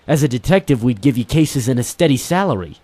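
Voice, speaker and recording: A man's voice: medium pitch, lively intonation, fast, dark tone, no echo, close to an everyday voice. Man acting imposing old detective agency boss, avuncular. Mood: insistent, salesmanlike. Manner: formal, avuncular, addresses listener as boy and son. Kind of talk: old-time radio detective drama acting. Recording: music behind the voice.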